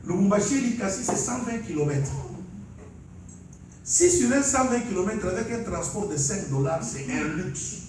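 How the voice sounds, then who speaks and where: A man's voice: high-pitched, expressive, moderate pace, background noise. A man from Congo preaching a sermon and telling his testimony, calling on the congregation to say amen. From Congo (DRC). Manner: calls for amens, laughs while preaching. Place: large church hall. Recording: echoey hall from far off.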